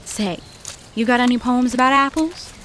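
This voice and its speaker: A woman playing a plucky, brisk small-town woman with folksy old-fashioned slang in an audio drama. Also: fairly high pitch, a bit bright, slight background noise, close to an everyday voice. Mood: breezy, teasing.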